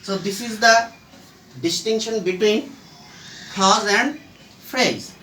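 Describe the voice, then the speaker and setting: Slow, echoey grammar teacher with a bright voice. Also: mid-range voice. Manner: halting, deliberate delivery in short fragments. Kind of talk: instructional grammar lesson. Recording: echoey room, distant mic, faint noise.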